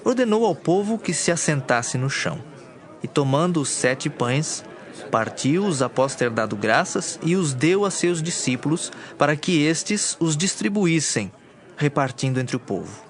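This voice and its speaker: Slightly high-voiced male Bible narrator. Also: big pitch swings, unhurried. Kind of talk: scripted dramatized Bible narration. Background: music.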